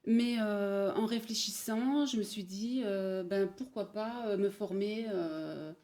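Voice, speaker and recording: Woman, 40s, Parisian-born guest, mellow and unhurried with lots of fillers. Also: mid-range voice. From Paris, France. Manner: hesitant, many pauses and fillers. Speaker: guest, bridal showroom manager. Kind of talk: casual interview, telling her career story.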